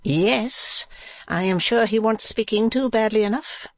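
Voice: mid-range voice, moderate pace, no room sound, voice pushed up, close to an everyday voice. A reader voicing a haughty, genteel businesswoman wife in a classic novel, with an imperious, scolding manner. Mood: pouting reproach. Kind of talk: classic novel audiobook character.

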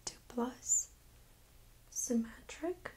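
A young woman speaking in a soft, breathy near-whisper, gently playing a medical examiner in an ASMR role-play. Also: on the high side. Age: young adult. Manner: gentle, unhurried, reassuring. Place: small carpeted exam room, indoors. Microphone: USB microphone on a stand nearby.

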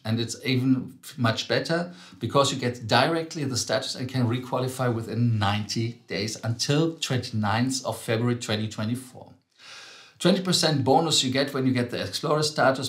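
A man in his thirties speaks English as a second language while hosting a travel news segment. He talks casually, correcting himself and drifting into little asides. His voice sits at an ordinary middle pitch. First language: not English. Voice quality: non-native English, self-correcting and chatty asides. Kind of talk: casual news commentary to camera. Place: studio with grey wall, indoors. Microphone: fixed camera, seated.

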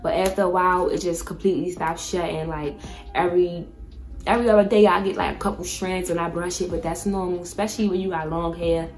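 A woman's voice: on the low side, fairly even intonation, quick pace. A young woman vlogger giving a casual, chatty product review, speaking candidly with plenty of slang and swearing. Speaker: beauty vlogger.

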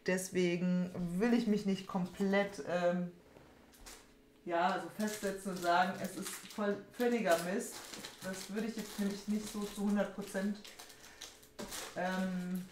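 A young woman talks in a rambling, informal way as she opens card packs. She often repeats herself. The room is echoey, with clear noise behind her. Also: medium pitch. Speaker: trading card opening host. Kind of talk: casual chat while opening card packs. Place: small room at home, indoors. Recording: roomy echo, background noise, card crinkling.

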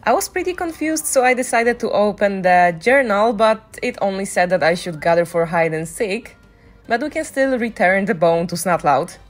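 A woman's voice: medium pitch, lively intonation, moderate pace, soft background music. A woman giving casual walkthrough commentary as a gaming guide, speaking in voice-over. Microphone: voice-over on a microphone, game music behind.

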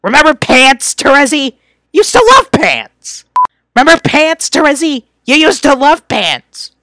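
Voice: sits high, very animated, measured pace, sharp tone, dry recording, voice pushed up, exaggerated voice, low-quality recording. A performer voicing an irritable, sarcastic young male troll from a webcomic, scornful and exasperated. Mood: pleading, heated. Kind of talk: reading fan voice lines from a webcomic.

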